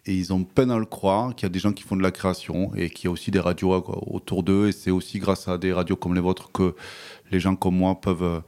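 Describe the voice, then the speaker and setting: A man with a southwestern French accent, a radio guest who speaks hesitantly in conversation and recites his own poem in a measured rhythm. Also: sits low, level tone, darkish tone, no room sound. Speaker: guest author.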